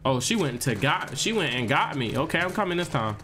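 Young guy w a stuffy nose, kinda high voice, hyped joking gameplay commentary. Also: a little fast, slight background noise. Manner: animated, joking, talks to viewers. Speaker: gaming streamer.

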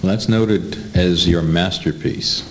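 Very deep-voiced American male interviewer. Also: slow, slight background noise. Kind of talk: conversational panel interviewing. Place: indoor room, panel table.

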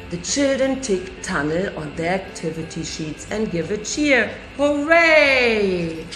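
A woman's voice: fairly high pitch, roomy sound. A middle-aged woman, a nursery teacher, reading a picture book aloud to children and raising her voice for the characters. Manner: lively storybook reading with raised voices for characters. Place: classroom indoors.

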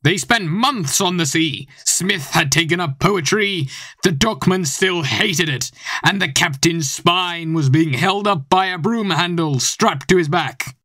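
High, bright-voiced teenage boy narrator. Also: measured pace, no echo. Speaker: audio play narrator. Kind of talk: scripted narration.

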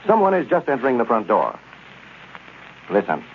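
A man's voice: medium pitch, wildly swooping pitch, moderate pace. A man reading scripted copy as an announcer in the style of 1930s radio. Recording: tinny, noisy 1930s radio recording.

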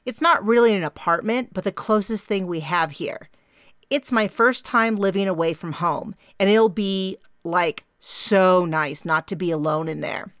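A woman voicing a bubbly, chatty young woman with a faint Minnesota accent who talks fast in clipped phrases. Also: on the low side, a bit bright, no room sound, clean studio sound. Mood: excited. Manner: fast, clipped, round vowels, bouncy.

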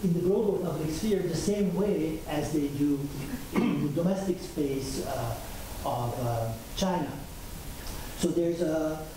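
Middle-aged male keynote speaker, halting lecture delivery, distant mic in a reverberant hall. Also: a little high, noisy background. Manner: halting, many filler pauses, walks about gesturing. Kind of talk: academic conference lecture. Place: large lecture theatre with audience. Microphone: room mic from afar.

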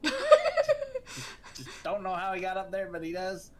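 A man's voice: sits high, wildly swooping pitch, measured pace, a loud crowd. A man joining his wife's game session, teasing her and giving directions. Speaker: co-commentator, husband. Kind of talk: casual gameplay chat.

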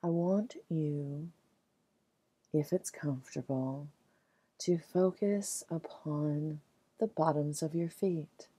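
A woman leading a guided meditation in a soothing, gentle, measured way, leaving long pauses between phrases. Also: low-pitched, slightly rough, no echo.

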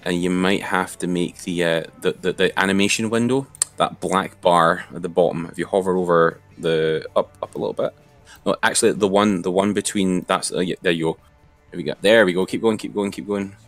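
A man's voice: sits low, steady tone, brisk, soft background music. A man giving an informal, step-by-step screen-share tutorial as an instructor.

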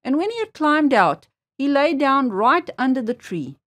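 A woman storyteller reading a children's tale aloud with expressive storybook phrasing. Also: high voice, moderate pace.

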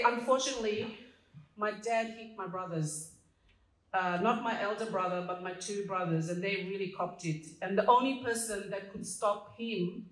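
A woman from Fiji in her fifties speaks at a stand mic on a small stage, telling her story with playful asides and laughter. Her middle-pitched voice carries a roomy hall echo. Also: a bit bright. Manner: humorous, laughs at her own jokes. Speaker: community association president. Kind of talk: personal speech read from notes. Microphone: stand mic, filmed from a distance.

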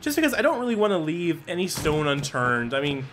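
A man giving let's play commentary, thinking aloud, reading item text and breaking into quick excited exclamations. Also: a little high. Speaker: gaming channel host. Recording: game music playing underneath. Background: game music.

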